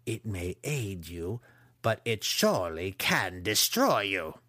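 Man voicing a menacing dark elf matron, measured. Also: medium pitch, very animated, dry recording, studio quality. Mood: threatening. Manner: slow, threatening. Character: stern, menacing dark elf matron, commanding her daughter. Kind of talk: fantasy audiobook character voice.

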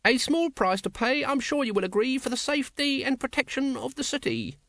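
A man's voice: very high-pitched, animated, a little fast, vocal fry, a bit bright, dry recording, voice pushed up, heavily acted. A man voicing a stiff young palace guard reciting in a wooden way.

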